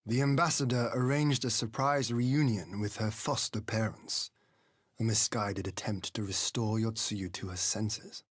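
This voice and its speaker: An actor playing a composed, responsible young lord in a dramatic game scene, speaking formally and decisively. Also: male voice, lowish voice, slightly rough, dry recording, clean studio sound. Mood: grave.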